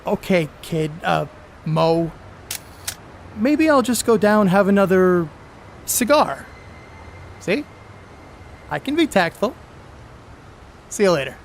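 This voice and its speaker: An actor playing a brash, slangy, middle-aged star ballplayer who teases bluntly in a radio play. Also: male voice, high voice, big pitch swings, slow, vocal fry, long pauses, slight background noise, exaggerated voice. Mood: tactful, easygoing. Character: brash wisecracking star ballplayer, middle-aged man, gruff but good-natured.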